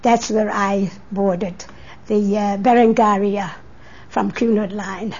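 An eighty-year-old woman from Hungary with a Hungarian accent, recalling her life in a deliberate way for an oral history interview on tape. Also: medium pitch, fairly even intonation, a little mellow, husky. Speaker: interviewee, immigrant. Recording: dull 1980s tape interview recording.

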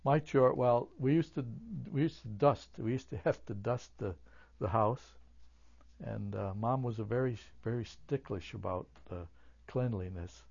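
An older man whose first language is German, recalling his childhood in an oral history interview, halting with many fillers and restarts. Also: mid-range voice, creaky, darkish tone, highs cut off.

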